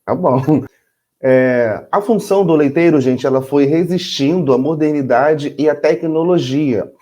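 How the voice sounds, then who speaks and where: Mellow-voiced Brazilian man co-hosting. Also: on the high side. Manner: explains with rhetorical questions to the audience; relaxed, chatty, says "entendeu?" often. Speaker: co-host. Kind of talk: casual chat.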